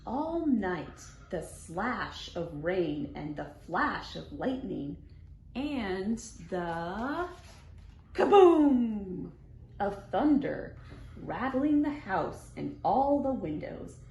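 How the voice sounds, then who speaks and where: A woman reading a picture book aloud to young children as a storytime host, in an animated, sing-song way with deliberate phrasing and playful sound words. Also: medium pitch. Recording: roomy echo, faint background noise.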